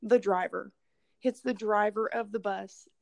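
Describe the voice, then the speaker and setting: A hoarse woman, casually telling a rambling, profane story as podcast host. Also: medium pitch, steady tone, unhurried. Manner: rambling, swearing, laughing storyteller. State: hoarse, losing her voice from illness.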